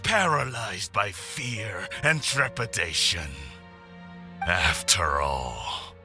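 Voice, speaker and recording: Grandiose fanatical clan lord. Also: male voice, very animated, very slow, over music, heavily acted. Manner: imperious, grandiose, booming. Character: proud, fanatical middle-aged clan lord. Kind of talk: video game voice acting.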